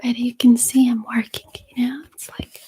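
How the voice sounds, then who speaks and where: A woman whispers softly to her livestream in an intimate ASMR style. Her voice is breathy and mellow, staying quite flat in pitch. She speaks hesitantly and a little nervously, over faint background noise. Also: normal speed. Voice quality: breathy whisper, mostly unvoiced. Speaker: ASMR streamer hosting a live chat.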